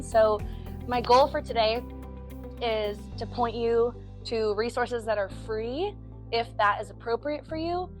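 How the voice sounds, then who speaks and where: A woman, an estate planning attorney giving a friendly educational talk, a little hoarse from allergies. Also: a little high. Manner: friendly, self-deprecating, chatty with fillers. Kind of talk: educational presentation to a group. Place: meeting room.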